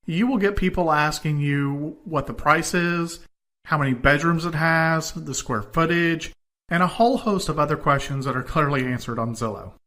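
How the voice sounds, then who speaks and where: Bright, higher-voiced man giving scripted instruction. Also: moderate pace. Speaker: instructor in a home-selling course.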